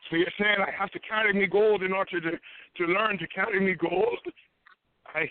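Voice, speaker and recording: A man's voice: high voice, moderate pace, no echo. A man putting on a gold-hungry old Irishman. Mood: insistent. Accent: put-on Irish. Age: older. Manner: insistent, confused, calls others "lassie". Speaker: caller. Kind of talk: prank call. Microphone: telephone. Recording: tinny phone line, dry.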